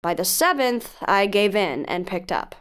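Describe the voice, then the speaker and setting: A composed investigative podcast host in an audio drama. She speaks at an average pitch, measured and earnest. Her narration is frank and carefully phrased. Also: sing-song, a bit bright, smooth. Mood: wry, frank.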